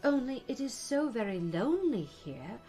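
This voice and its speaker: A woman's voice: on the high side, big pitch swings, unhurried, soft background music, pitched above the performer's own voice. A woman voicing an earnest, puzzled, polite young girl in a storybook, with a questioning manner. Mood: wistful. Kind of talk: storybook character voice.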